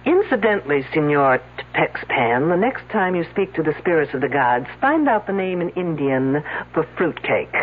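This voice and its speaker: An actress playing a sharp-tongued woman detective with a dry, sarcastic way of talking. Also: deep voice, very animated, normal speed, hardly any pauses, breathy edge, full character voice. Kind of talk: 1970s radio drama acting. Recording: tinny old radio broadcast.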